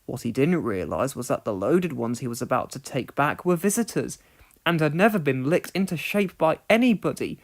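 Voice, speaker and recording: A storybook narrator of middling pitch reads for children. The delivery is expressive and deliberately phrased, with wide, animated intonation.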